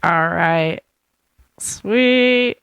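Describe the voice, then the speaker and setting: A woman's voice: on the low side, drawn-out words, creaky, a bit bright, clean tone, no room sound. A woman co-hosting a casual online chat, speaking informally and enthusiastically with plenty of slang. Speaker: co-host and audio drama producer. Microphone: online call.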